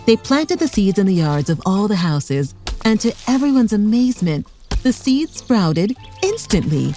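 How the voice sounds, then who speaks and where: A woman's voice: mid-range voice, big pitch swings, normal speed, warm tone, soft background music. A woman reading a scripted children's story aloud as narrator, expressively, for young children.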